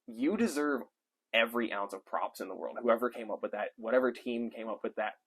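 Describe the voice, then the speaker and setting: A man's voice: mid-range voice, animated, brisk, slightly rough. A young man giving an enthusiastic, rambling movie review off the cuff, with frequent fillers. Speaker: film reviewer. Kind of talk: casual unscripted movie review.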